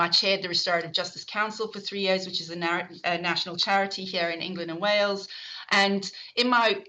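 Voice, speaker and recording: A woman's voice: on the low side, sharp tone, poor audio. A middle-aged woman with a South African accent, chairing an online session and introducing the speakers in a chatty way, with lots of fillers and restarts.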